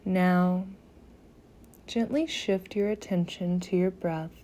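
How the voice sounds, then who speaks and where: A woman guiding a meditation in a soothing, gentle manner, softly breathy at phrase ends, with long pauses between short phrases. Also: on the low side, fairly even intonation, slight background noise. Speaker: yoga nidra meditation guide. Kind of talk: slow guided meditation.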